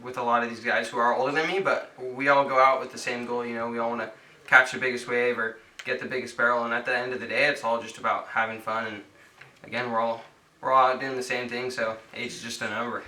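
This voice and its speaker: A teenage boy surfer talks in a relaxed, easygoing way about the next day's heat, restarting his sentences as he goes. His voice is very bright and sits a step back from the microphone. Everything carries a roomy echo. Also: medium pitch, quick pace, recorded from a distance. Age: teens. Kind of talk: contest interview.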